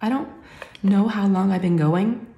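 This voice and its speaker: Hoarse, scratchy woman, sick. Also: measured pace, warm tone, highs cut off. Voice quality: scratchy, deep. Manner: chatty, rambling, folksy. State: hoarse and sick, catching her breath. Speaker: YouTube creator. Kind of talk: casual chat to viewers. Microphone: camera mic. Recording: echoey room.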